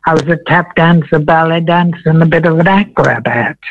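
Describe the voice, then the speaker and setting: A man in his eighties from Wales, a veteran entertainer telling his story in a radio interview, slow and meandering with many ums. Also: fairly high pitch, no echo, narrow, tinny audio. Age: 80s.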